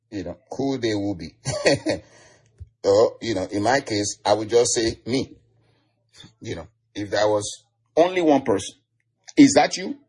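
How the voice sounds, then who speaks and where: Husky Nigerian preacher, chuckling often. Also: male voice, mid-range voice, a little mellow. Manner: chuckles often, repeats declarations. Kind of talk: online sermon.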